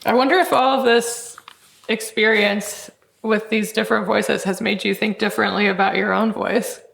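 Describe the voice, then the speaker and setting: A woman's voice: mid-range voice, steady tone, normal speed, vocal fry, fairly bright tone. A woman hosting an interview, asking thoughtful questions. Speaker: interviewer, podcast host. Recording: roomy, echoing sound.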